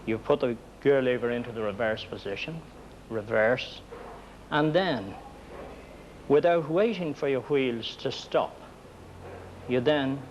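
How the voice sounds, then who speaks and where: An Irish man lecturing trainees as a mechanics instructor, speaking deliberately in short broken phrases, on a 1960s film recording. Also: medium pitch. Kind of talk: explanatory classroom lecture. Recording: muffled, noisy 1960s film sound.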